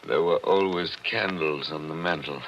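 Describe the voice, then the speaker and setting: A tense, haunted grown lawyer whose deep voice comes out urgent and brooding. The words carry the heightened delivery of vintage radio drama. The sound is dull and muffled, like an old broadcast recording. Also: male voice, normal speed, a little hiss or hum. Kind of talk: 1940s radio acting.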